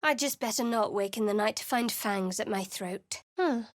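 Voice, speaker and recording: A woman's voice: mid-range voice, normal speed, no room sound, natural voice. A woman voicing a guarded, sardonic young cleric adventurer in a video game, speaking with dry, cutting wit and cool reserve. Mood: wry.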